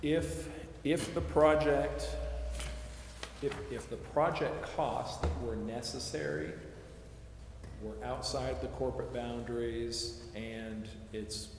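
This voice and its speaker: A man explaining details at a public meeting in a measured, qualifying way. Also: mid-range voice, fairly even intonation. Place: large echoey meeting room, council chambers. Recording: echoey hall, background hiss.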